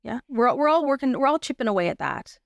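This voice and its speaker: A woman's voice: high voice, sing-song, quick pace, vocal fry, dry recording, muffled recording. A woman giving a casual, explanatory interview as a guest, often checking in with the listener. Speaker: podcast guest, arts advocate and workshop teacher.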